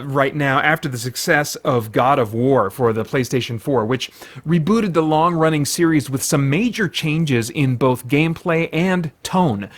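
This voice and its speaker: Higher-voiced man giving chatty reviews. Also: fairly bright tone. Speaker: podcast host and film reviewer.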